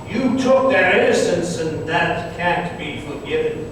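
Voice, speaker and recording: A man's voice: on the high side, animated, unhurried. A man playing a stern but jolly old Santa in a rhyming old-time radio play, with a theatrical, commanding delivery. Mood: stern, accusing. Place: live stage performance in a hall. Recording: very echoey hall, distant mic, faint noise.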